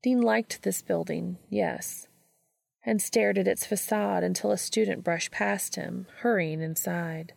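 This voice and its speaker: Very creaky-voiced woman narrating fiction. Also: medium pitch, steady tone, a little slow, darkish tone, no echo. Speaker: fan fiction audiobook reader. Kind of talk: scripted story narration.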